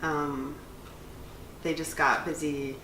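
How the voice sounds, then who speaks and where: A woman with a notably low voice for her sex, in her late thirties, talks in a rambling way full of "um" and "like" as she co-hosts a casual vlog. She is recorded at a distance in an echoey room with faint room noise. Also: little pitch movement, slow, sharp tone. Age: 30s. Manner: rambling, filler-heavy. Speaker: cosmetologist and instructor, channel co-host. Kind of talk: casual chat.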